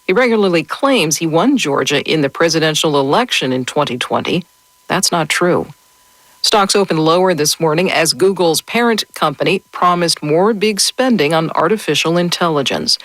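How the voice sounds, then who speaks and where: A woman with an American accent reading a scripted radio newscast in a measured, formal way. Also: deep voice, lively intonation, a bit bright, no room sound, low-quality recording. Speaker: news anchor.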